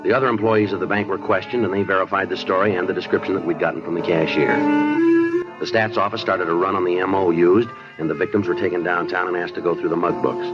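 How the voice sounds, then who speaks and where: An actor playing a terse, matter-of-fact police detective in a 1950s radio crime drama. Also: male voice, low voice, fairly even intonation, fast talker, natural voice. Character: clipped, businesslike male police detective narrating his case. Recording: muffled 1950s radio recording with music.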